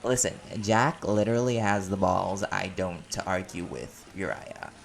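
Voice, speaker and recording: Male actor as smooth-talking male reporter, audio roleplay. Low, gravelly register, easygoing, teasing charm. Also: a little slow, a little hiss or hum. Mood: playful, flirty. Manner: playful, teasing. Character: easygoing, flirtatious reporter, smooth sweet talker.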